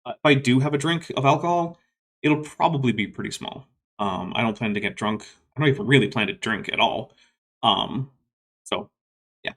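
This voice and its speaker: A man's voice: mid-range voice, moderate pace, fairly bright tone. A man hosting a casual livestream question session, talking in a reflective, rambling way with many fillers and restarts, and a voice listeners call soothing. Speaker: YouTube host answering viewer questions.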